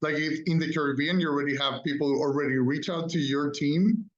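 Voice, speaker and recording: A man's voice: a little high, fairly even intonation, a little fast. A man from the Republic, casually and appreciatively asking questions as a participant on an online call. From Dominican Republic. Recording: dull, lo-fi online call audio.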